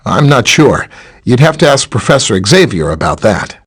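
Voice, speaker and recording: A man playing a friendly, helpful scientist superhero in a video game, with a chatty, polite, explanatory way of talking and a slightly husky voice. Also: mid-range voice, very animated, moderate pace, fairly bright tone. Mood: explanatory, earnest. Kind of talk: scripted video game character dialogue.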